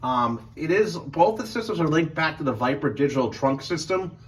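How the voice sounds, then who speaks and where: A man's voice: on the high side, expressive, moderate pace. A man giving a casual walkthrough of his equipment, rambling and hesitant as he thinks aloud, recorded indoors at home. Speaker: emergency medical worker and radio hobbyist. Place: indoors in a house. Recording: home recording with faint background noise.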